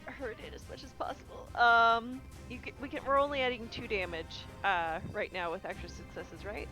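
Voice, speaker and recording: A woman's voice: fairly high pitch, steady tone, moderate pace, sharp tone, soft background music, rough recording. A woman playing at a tabletop game table, chatting eagerly in playful slang and laughing easily. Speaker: player. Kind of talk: casual tabletop play chat.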